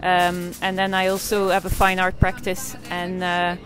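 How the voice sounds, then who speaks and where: A woman's voice: fairly low pitch, completely flat delivery, a little slow, music in the background. A woman in her forties from Belgium, an artist and photographer answering interview questions in a hesitant way, with many fillers and restarts.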